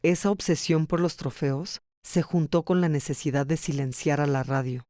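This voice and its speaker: A man's voice: on the high side, steady tone, moderate pace, a little mellow, no echo, studio quality. A man reading scripted documentary narration. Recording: music behind. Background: music.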